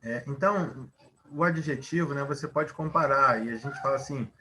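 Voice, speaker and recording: A man's voice: mid-range voice, normal speed, sharp tone, a little hiss or hum. A man teaching a Portuguese grammar lesson, explaining at length, asking rhetorical questions and using many fillers.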